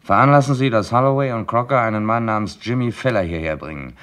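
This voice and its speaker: Deep-voiced, measured police inspector played by a man. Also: a bit bright, lightly acted. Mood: businesslike, pensive. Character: calm, courteous, methodical male police chief inspector. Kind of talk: German radio crime play acting.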